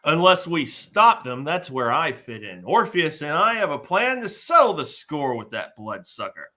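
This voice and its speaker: A man performs a blustering, cowardly would-be hero in a comic script reading. The voice is bright and forceful, set a little high. Delivery swings widely and is exaggerated, full of hollow boasting. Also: very animated, moderate pace. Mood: boastful. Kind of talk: live comedic table read of a TV script.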